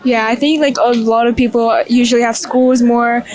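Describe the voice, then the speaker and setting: Soft-spoken teen girl from Indonesia. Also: fairly high pitch, steady tone, normal speed. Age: teens. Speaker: interviewee. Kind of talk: casual chat.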